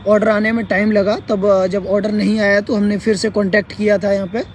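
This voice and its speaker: Hoarse guy talking on a live stream outdoors, high voice, faint traffic behind. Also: flat delivery. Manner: clears throat often, halting with fillers. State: hoarse, sore throat. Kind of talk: casual live update. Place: sitting outdoors near a court.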